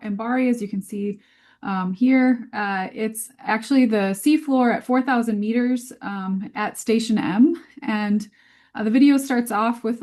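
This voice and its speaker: A woman's voice: fairly high pitch, mellow. A woman presenting a scientific webinar over a video call in an informal, conversational way, often hesitant as she thinks aloud.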